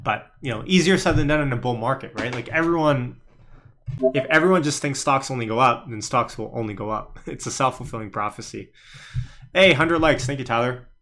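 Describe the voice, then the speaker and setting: A man's voice: medium pitch, fairly quick, a bit bright. A young man from Canada hosting a casual live stream on the stock market, chatting and laughing as he reads viewer comments aloud. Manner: chatty, laughs while reading chat aloud. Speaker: livestream host and day trader.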